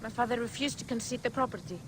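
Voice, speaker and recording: Woman acting a high-voiced, wary young farm woman. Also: quick pace, noisy background, close to an everyday voice. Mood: grim, resolute. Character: bereaved, wary, resolute young farm daughter. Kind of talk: dramatic video game acting.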